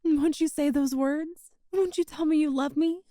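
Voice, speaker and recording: A high, mellow-voiced yandere witch, acted by a woman in an intimate ASMR roleplay. She is sweet, coy and possessive, treating sinister ideas as breezy small talk. The sound is very dry and close. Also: fairly even intonation, normal speed, no echo, lightly acted. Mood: pleading, possessive. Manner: cutesy, coy, breezily matter-of-fact about sinister things. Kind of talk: ASMR roleplay acting addressed to the listener.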